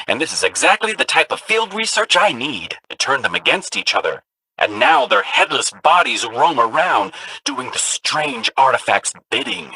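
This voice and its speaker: A man's voice: fairly high pitch, vocal fry, sharp tone, slight background noise, heavily acted, rough recording. An actor voicing an eager, chatty male ghost companion robot in a video game, a curious storyteller of spooky legends. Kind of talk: game voice lines.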